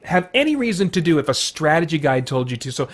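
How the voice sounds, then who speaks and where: A man's voice: fairly high pitch, very animated, fast. A middle-aged man hosting a video series, talking casually and rambling about video games.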